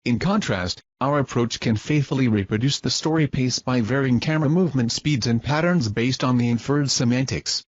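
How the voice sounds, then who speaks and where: A man reads a scripted research narration in a formal, measured manner, like a presenter explaining a technical method. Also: medium pitch, husky, dry recording, dull audio.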